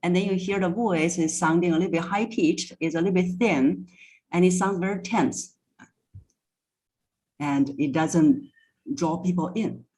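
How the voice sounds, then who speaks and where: A woman's voice: fairly low pitch, unhurried, long pauses, darkish tone, low-quality recording. A woman speaking as a voice coach in an interview, explaining things step by step with examples. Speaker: voice coach, podcast guest.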